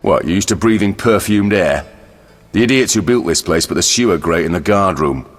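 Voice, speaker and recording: Casting: gruff game jail captain, commanding and curt, low voice in a reverberant space. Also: male voice, expressive, normal speed. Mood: impatient. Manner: brusque, commanding. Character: gruff, no-nonsense, corrupt male jail captain. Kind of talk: video game voice acting.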